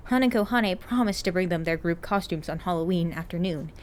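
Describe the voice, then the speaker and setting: A woman's voice: lowish voice, a little fast. A woman reading a story aloud as scripted narration, like an amateur audiobook. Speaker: narrator of a fan fiction podfic.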